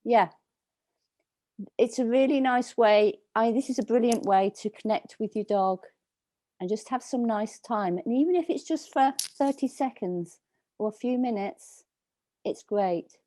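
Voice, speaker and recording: A woman dog trainer giving slow, soothing instructions over a video call, with a calming voice. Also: on the high side, no echo. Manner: soft, slow, soothing coaching. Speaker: dog trainer leading a workshop.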